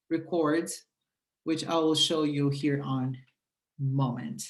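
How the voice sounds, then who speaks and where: A speaker whose first language is Portuguese walks through an app step by step, explaining in a halting way with frequent fillers. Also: mid-range voice. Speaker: presenter demonstrating a language-exchange app. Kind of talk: screen walkthrough tutorial. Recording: roomy echo.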